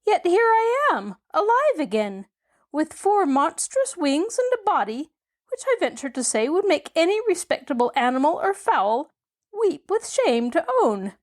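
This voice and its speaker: A woman voicing a squeaky, fretful flying gump creature in an audiobook. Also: big pitch swings, measured pace, full character voice. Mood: plaintive. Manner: high and plaintive. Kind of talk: audiobook character voice.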